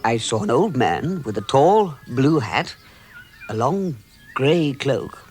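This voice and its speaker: Fussy, animated hobbit. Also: male voice, mid-range voice, slow, soft background music. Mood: genial. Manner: stammering, chuckling, flustered politeness. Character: fussy, genial, easily flustered male hobbit. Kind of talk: vintage British radio drama acting.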